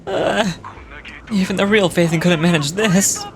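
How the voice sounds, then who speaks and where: Man doing a panicked, high-strung cowardly villain. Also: measured pace, gravelly, background noise. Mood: shocked, flustered. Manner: stammering, pleading. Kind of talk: dramatic read-aloud of game dialogue. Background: music.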